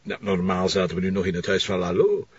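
An actor playing a self-assured, offhand police commissioner with a Flemish accent in a crime drama. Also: male voice, low voice, fast talker, lo-fi sound, natural voice.

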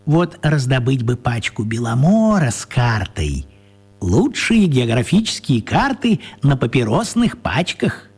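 An actor playing a tiny, apologetic old wizard gentleman in a children's radio play, husky and fussy, courteous and repeating himself. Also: medium pitch, sing-song, unhurried. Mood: sheepish.

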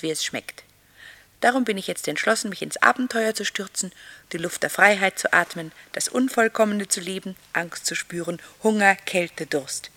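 A theatrical wife bidding farewell, in a bright, intense voice. She declaims romantically and melodramatically, as in 1960s German radio play acting. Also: lowish voice, gravelly. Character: theatrical, romantic runaway wife reading her farewell letter.

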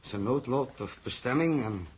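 An actor playing a brooding, philosophical young male crewman in a 1960s radio drama, with a husky voice. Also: medium pitch, lively intonation, unhurried, slight background noise, lightly acted. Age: young adult.

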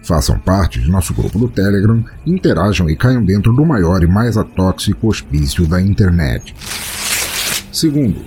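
A man's voice: low-pitched, very animated, normal speed, dark tone, faint music behind. A Brazilian man hosting a podcast, reading a scripted introduction in a grandiloquent, tongue-in-cheek theatrical manner. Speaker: podcast host.

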